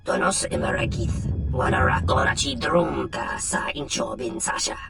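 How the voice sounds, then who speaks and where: An actor voicing an animated alien creature in a video game, with a heavily gravelly, swooping voice. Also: male voice, mid-range voice, moderate pace, loud music behind the voice. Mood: forceful, alien. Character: gravelly alien creature speaking invented tongue.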